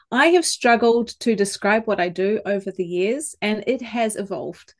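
A woman with a New Zealand accent speaks in an easy mid-range voice, choosing her words with care. She talks as a guest in a relaxed podcast conversation. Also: medium pitch. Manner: thoughtful, measured, with small restarts. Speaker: communications coach, podcast guest. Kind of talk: conversational interview.

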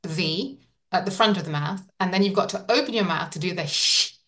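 Lower-voiced woman, set back from the mic. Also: brisk, pushed and bright, far from the mic. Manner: thoughtful, many fillers and restarts. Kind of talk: casual conversation.

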